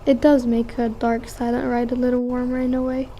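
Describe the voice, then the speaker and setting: A woman's voice: on the high side, little pitch movement, a little fast, mellow, slight background noise, lightly acted. A woman playing an anxious, candid young daughter fleeing war in a radio drama, earnest and plainspoken. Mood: grumbling, reflective.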